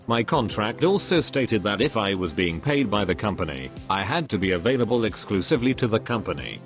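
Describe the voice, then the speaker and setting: A man reading a scripted first-person story as a narrator for online listeners. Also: on the low side. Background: background music.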